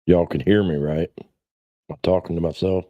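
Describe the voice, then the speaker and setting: A man's voice: low-pitched, steady tone, moderate pace, no room sound, dull audio. A man in his forties chatting casually on a livestream, rambling in a blunt, self-deprecating, salty way. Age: 40s. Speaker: livestream host.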